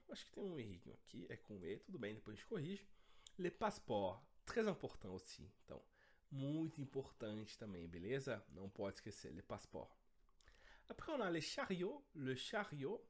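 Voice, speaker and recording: A man with a cold, his voice a bit hoarse, teaching an online French lesson and often checking in with his students. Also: medium pitch.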